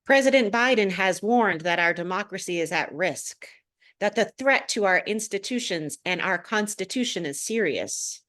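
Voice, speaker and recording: A woman minister delivering a prepared sermon to a congregation, phrasing deliberately and pausing for emphasis. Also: on the low side, a bit bright.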